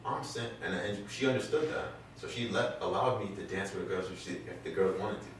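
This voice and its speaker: A man's voice: low voice, fairly quick, slight background noise. A man speaking earnestly in a casual group chat, often restarting sentences as he explains. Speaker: guest. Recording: echoey room, mic far off.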